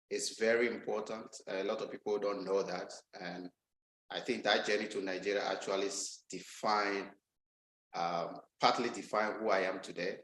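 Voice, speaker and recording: A man's voice: on the low side, a bit bright, echoey room, slight background noise, poor audio. A man from Ghana giving a guest talk over a video call, speaking hesitantly with many ums and restarts. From Ghana, Volta region. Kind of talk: casual online talk.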